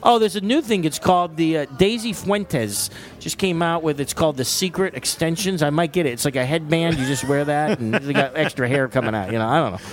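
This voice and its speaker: A man's voice: fairly high pitch, fairly quick, few pauses. A man in his forties co-hosting a music podcast, joking and rambling in self-mocking casual chat. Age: 40s. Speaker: co-host and guitarist.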